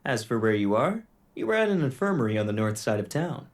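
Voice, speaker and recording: Man as a young male agent, polite, reassuring, audio drama dialogue, swinging expressive pitch. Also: fast talker, lightly acted. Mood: calm, reassuring.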